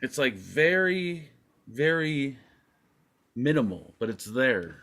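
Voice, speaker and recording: A man's voice: mid-range voice, very animated, a bit bright. A man co-hosting a live vape show, joking around and rambling in casual chat. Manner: joking, rambling, quick asides. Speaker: co-host.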